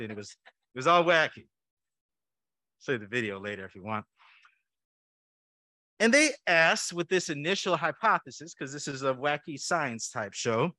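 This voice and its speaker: Lively, bright-voiced man preaching a sermon. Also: fairly high pitch, no room sound. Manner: conversational, jokey, asks the congregation questions. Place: church sanctuary.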